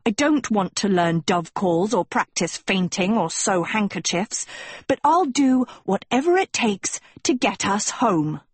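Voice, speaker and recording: Lady doing a stubborn grumpy teen girl from a storybook, snappy n blunt, bit husky. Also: mid-range voice, expressive, a little slow, no room sound. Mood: snappish. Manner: curt, snappish. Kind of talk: acted storybook dialogue.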